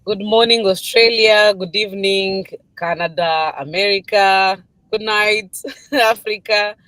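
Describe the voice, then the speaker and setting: A woman's voice: mid-range voice, unhurried, fairly bright tone, no room sound. Woman, dry close sound. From Congo. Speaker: guest business partner. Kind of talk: live stream introduction.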